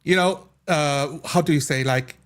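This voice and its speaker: Higher-voiced man in casual group chat. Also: a little slow, fairly bright tone. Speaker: tabletop role-playing player.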